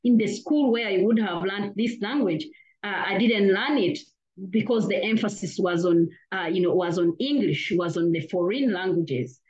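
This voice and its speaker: A woman from Uganda answers interview questions earnestly. She often restarts and leans on "you know". Her voice sits in a middle pitch, and the recording sounds dull and lo-fi. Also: moderate pace, a little mellow. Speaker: academic guest. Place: lives in Australia.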